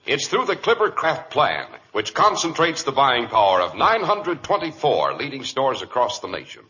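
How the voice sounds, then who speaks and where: Bright, animated American 1940s radio announcer, male. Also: medium pitch, slight background noise. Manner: polished, emphatic salesmanship. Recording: muffled vintage radio recording.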